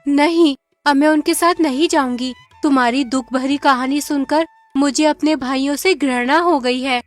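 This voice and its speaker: A woman voicing a gentle, sincere young village woman in a cartoon moral story, speaking earnestly. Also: high-pitched, steady tone, moderate pace, faint music behind, close to an everyday voice. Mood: resolute.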